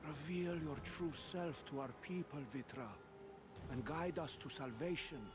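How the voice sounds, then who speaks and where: An actor playing a dignified, earnest counselor in a fantasy video game, speaking formally. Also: male voice, on the high side, a little slow, background noise, lightly acted. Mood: earnest, pleading. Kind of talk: dramatic video game voice acting. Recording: music behind the voice.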